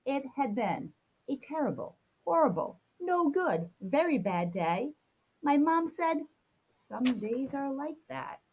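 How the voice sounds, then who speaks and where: A woman's voice: high-pitched, big pitch swings, takes it slowly, darkish tone, poor audio. A woman reading a children's picture book aloud, expressively acting out a grumpy child's complaints. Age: adult. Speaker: storybook reader.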